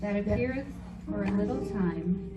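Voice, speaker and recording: A woman's voice: medium pitch, moderate pace, very noisy. A woman reading scripted lines in a church reader's theater performance. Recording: dull distant echoey recording, loud music behind.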